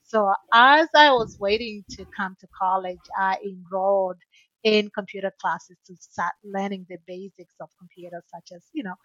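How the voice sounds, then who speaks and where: A woman's voice: mid-range voice, normal speed, a bit bright, no room sound. Hesitant woman, dull dry recording. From Tanzania. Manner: hesitant, many fillers and restarts. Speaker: podcast guest. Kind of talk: conversational interview answer.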